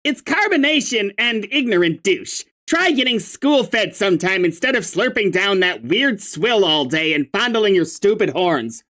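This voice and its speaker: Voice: mid-range voice, big pitch swings, unhurried, hardly any pauses, a bit bright, voice pushed up. A reader voicing an irritable young male troll who rants and hurls insults in exasperation. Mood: irritated. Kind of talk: reading a webcomic aloud with voices.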